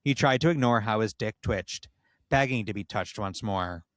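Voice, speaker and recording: A man reading comedic erotic fiction aloud with expressive, story-like narration, as a podcast host. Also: fairly low pitch, normal speed, dry recording, studio quality.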